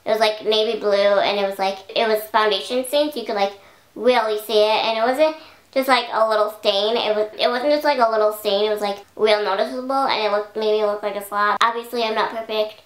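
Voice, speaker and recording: A woman's voice: mid-range voice, fairly even intonation, brisk. Chatty young woman vlogger, echoey room. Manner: chatty, rambling, many "like" fillers. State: slightly hoarse. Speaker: YouTube vlogger. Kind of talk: casual talk to camera.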